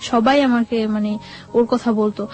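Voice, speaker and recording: A woman's voice: on the high side, fairly even intonation, a little slow, a little mellow. A young woman from Bangladesh recounting her life step by step in an interview, often repeating herself. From Bangladesh, Barisal region. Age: young adult. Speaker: guest telling life story. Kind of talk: personal storytelling in an interview. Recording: music playing behind the voice. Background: background music.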